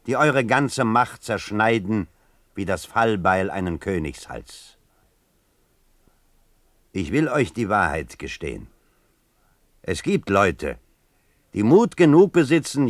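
Male reader, deep voice, wide swings in pitch, solemn rhetorical recitation, emphatic. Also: dry recording. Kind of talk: dramatic literary recitation in a radio production.

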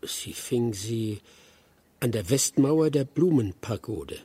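An actor playing a dutiful, cautious aide in a radio drama, with a breathy, husky voice and careful, halting delivery. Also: male voice, medium pitch, expressive, warm tone, dry recording, exaggerated voice. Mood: earnest.

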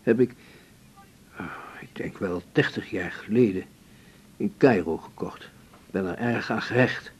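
An actor playing a courteous, composed older male patient in a radio drama, speaking gently and haltingly. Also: husky. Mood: gentle, grateful. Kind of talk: 1980s Dutch radio drama acting. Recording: faint rumbling background noise.

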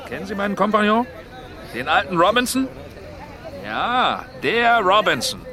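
An actor playing a brash, scheming sea trader in a radio drama, boastful, coaxing and coarse. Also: male voice, sits high, sing-song, normal speed. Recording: busy outdoor crowd behind. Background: outdoor crowd bustle.

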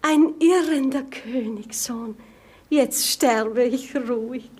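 A tender, wonderstruck woman, high in pitch and slow in delivery. She speaks emotionally, in theatrical 1950s radio style. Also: darkish tone, breathy edge, heavily acted. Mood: deeply moved. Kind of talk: 1950s German radio play acting. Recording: 1950s radio play recording.

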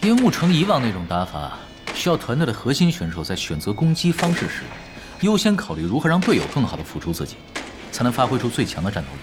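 A man's voice: mid-range voice, fast talker. A man playing a laid-back young esports pro in an audio drama, explaining like an expert and teasing in a nonchalant way. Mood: calm, analytical. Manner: relaxed, nonchalant, analytical. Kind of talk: audio drama acting, conversational. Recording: music behind the voice.

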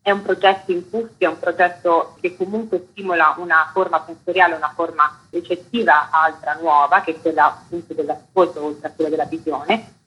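A woman's voice: fairly low pitch, steady tone, fairly quick, a bit bright, husky, poor audio. A woman being interviewed on the radio as an artistic director, explaining a programme in detail.

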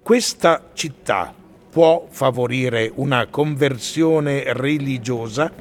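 A man playing a measured questioner in a radio piece, speaking in a slow, deliberate voice-over style. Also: mid-range voice, natural voice. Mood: measured, questioning.

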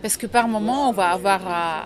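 A woman from Lebanon, an actress and drama teacher, answering interview questions in a relaxed, thoughtful way with many small hesitations. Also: mid-range voice, moderate pace, crowd noise. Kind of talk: casual interview in a café. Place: busy café or restaurant. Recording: noisy crowded café.

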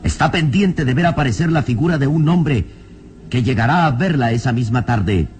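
A man's voice: medium pitch, normal speed, darkish tone. A man narrating an old radio serial from a script in a solemn, declamatory, dramatic way. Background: faint music.

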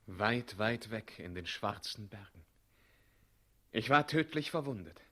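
An actor playing a noble knightly hero, grave and declamatory, in a radio play. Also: male voice, lowish voice, animated, measured pace, pushed and bright, full character voice. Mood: tender. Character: noble knightly hero, tender lover, stern avenger. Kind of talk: 1960s German radio play acting.

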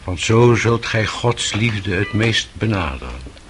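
A very slow, low-voiced old monk in a radio play. He is devout and gentle, exhorting with solemn, measured weight over music. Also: very animated. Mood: solemn, loving.

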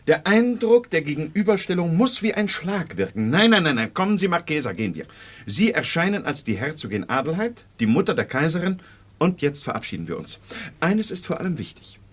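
An actor playing a fussy, commanding doctor in a 1970s radio drama, emphatic and insistent. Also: male voice, a little high, very animated, brisk. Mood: urgent, insistent. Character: fussy, commanding male doctor directing a scheme. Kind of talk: 1970s German radio play acting.

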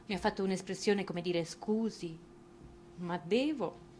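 A woman's voice: mid-range voice, unhurried, a little mellow, a little hiss or hum, lightly acted. An actress playing a humiliated, indignant wife in a theatrical monologue, dramatic and mocking as she stretches words for emphasis. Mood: bitter mockery.

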